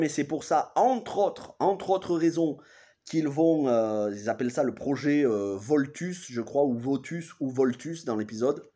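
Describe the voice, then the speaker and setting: A man in his thirties speaks with the congested sound of a cold, his pitch sitting in an ordinary middle range. He recaps a TV episode casually and haltingly, pausing and correcting names as he goes. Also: a little mellow. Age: 30s. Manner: hesitant, restarts and corrects himself often. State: has a cold. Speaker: TV series reviewer.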